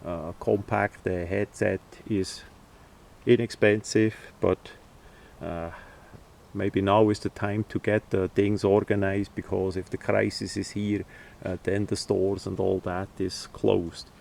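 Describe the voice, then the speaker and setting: Guy doing a casual how-to, deepish voice, really slow, stops mid phrase a lot. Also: flat delivery, gravelly, darkish tone. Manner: halting, hesitant, pausing mid-phrase. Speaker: presenter of a how-to video. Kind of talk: informal instructional demonstration.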